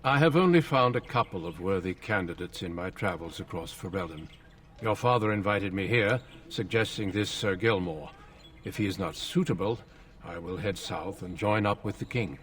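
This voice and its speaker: A solemn warrior recruiter from a fantasy game. The voice is low, courteous and formal, with measured phrasing, heard a little away from the mic. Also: male voice, a bit bright, recorded from a distance.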